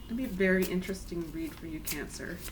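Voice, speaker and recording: A woman with a raspy voice today, giving a chatty, soothing tarot reading to her viewers. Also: on the low side, quick pace, very noisy. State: raspy, clearing throat. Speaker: tarot reader. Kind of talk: casual spiritual reading.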